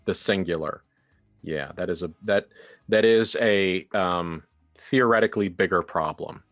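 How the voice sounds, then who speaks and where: Man, low voice, slow pace, game master, halting horror narration with casual asides, dull recording. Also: soft background music. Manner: halting, deliberate descriptive storytelling mixed with casual asides.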